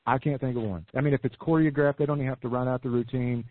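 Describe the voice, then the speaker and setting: A hoarse man talks fast and eager, pitching ideas like a salesman and often restarting mid-sentence. His voice is husky and a little breathy. The sound is narrow and phone-like, dry with no room at all. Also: fairly even intonation, no room sound. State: losing his voice. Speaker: business coach guest. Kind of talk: podcast interview.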